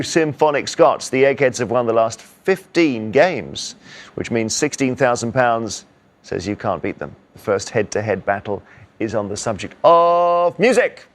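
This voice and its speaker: A man hosting a television quiz show in a studio, reading questions and teasing the contestants in a playful, upbeat way. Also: on the low side. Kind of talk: scripted game show presenting.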